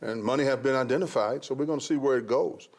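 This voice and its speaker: A man's voice: mid-range voice, quick pace. A man in his late thirties, a pastor co-hosting a talk show, talking earnestly and repeating his points. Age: 30s. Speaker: co-host, pastor. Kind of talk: casual talk show chat.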